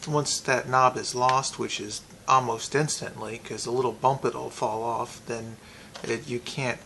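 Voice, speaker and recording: A man giving a casual, unscripted product review, thinking aloud in a halting way with pauses. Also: mid-range voice, gravelly, a bit bright, slight background noise.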